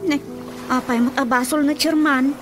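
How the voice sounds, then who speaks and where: An actress playing a gossipy, excitable village woman in an Ilocano radio drama. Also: sits high, moderate pace, close to an everyday voice. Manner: gossipy, exclaiming. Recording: radio drama with music behind.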